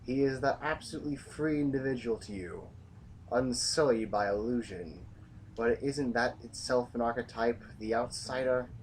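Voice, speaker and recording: A man acts out a hardened rebel general in a sci-fi audio drama. He talks in blunt, clipped military commands at a middling pitch. The voice sits back from the mic in a slightly noisy amateur recording. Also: moderate pace, distant mic, natural voice. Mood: heated, accusing. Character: hardened male rebel general, blunt, battle-ready soldier. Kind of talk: science fiction audio drama acting.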